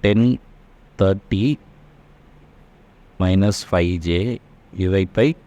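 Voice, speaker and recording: A man with a fairly low voice teaches a worked engineering problem step by step. His pace is very slow and halting, and he stops now and then to check that it is understood. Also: mellow. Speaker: electrical engineering lecturer. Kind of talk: step-by-step worked math tutorial.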